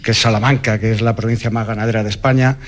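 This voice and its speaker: A man's voice: on the low side, fairly even intonation, fast. Man from Toledo, Spanish farmer in interview. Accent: Spanish from Spain. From Toledo, La Mancha, Spain. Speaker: livestock farmer, interview guest. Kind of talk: conversational interview answers.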